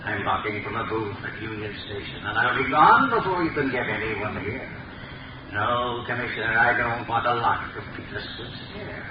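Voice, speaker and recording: An actor playing a mysterious, mocking male vigilante in a 1930s radio drama, taunting slowly with a sinister laugh. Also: mid-range voice, expressive, natural voice. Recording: muffled, noisy, echoey 1930s radio recording from a distance.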